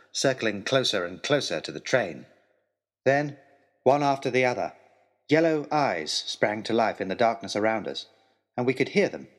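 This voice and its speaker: Animated male adventure storyteller. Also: mid-range voice. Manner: expressive, theatrical. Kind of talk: dramatic first-person adventure narration.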